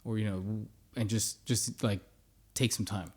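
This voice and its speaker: A man's voice: on the low side, moderate pace. A man in his thirties chatting casually as a podcast guest, in an informal, easygoing way with frequent laughter. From born in the United States, grew up in many countries. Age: 30s. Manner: laughs often, relaxed and informal. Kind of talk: casual chat.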